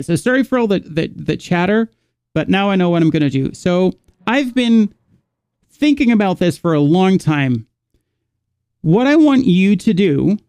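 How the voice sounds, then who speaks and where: A man's voice: fairly high pitch, gravelly, warm tone, clear, resonant tone, dry recording, clean studio sound. A man from the Netherlands, teaching a live guitar lesson and rambling as he thinks aloud, stopping to restart his sentences.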